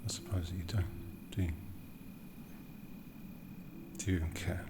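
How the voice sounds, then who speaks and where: A man voicing an ancient, aristocratic vampire lord in a breathy half-whisper for an ASMR roleplay, menacing, world-weary and condescending, with deliberate theatrical phrasing. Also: sits low, flat delivery, heavy background noise. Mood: condescending, threatening.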